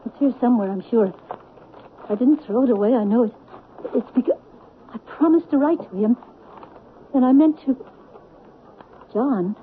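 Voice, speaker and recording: A woman's voice: a little high, moderate pace, pauses often, slight background noise, lightly acted. An actress playing a flustered, anxious middle-aged mother in a radio drama. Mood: frantic. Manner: flustered, pleading. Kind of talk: 1970s radio drama acting. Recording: tinny old radio broadcast.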